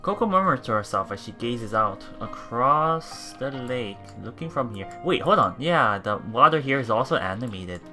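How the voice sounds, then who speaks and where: Casting: excitable let's play streamer, bright voice, very animated intonation, casual read-aloud narration. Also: mid-range voice, moderate pace, few pauses, faint music behind. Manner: excitable, repeats words and phrases. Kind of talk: reading game text aloud with casual reactions.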